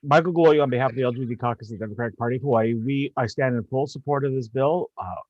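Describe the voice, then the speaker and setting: A man's voice: medium pitch, very fast, a little mellow, no echo. A man giving short, upbeat testimony for an advocacy group at a hearing. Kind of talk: brief supportive testimony. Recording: dry, dull lo-fi audio.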